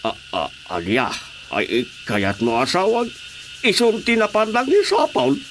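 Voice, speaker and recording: A man's voice: sits high, wildly swooping pitch, a little slow, fairly bright tone, heavily acted. A man playing a flustered older villager with an Ilocano accent in a radio drama comedy. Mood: flustered, complaining. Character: flustered older male villager reporting missing goats. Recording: faintly noisy radio drama track.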